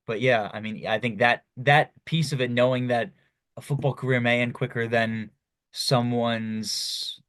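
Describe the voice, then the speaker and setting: A man's voice: medium pitch, steady tone, measured pace, fairly bright tone, clear, resonant tone, no room sound, studio quality. A young man hosting a podcast, asking long, loose questions with lots of you-knows. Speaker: podcast host. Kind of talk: casual interview chat.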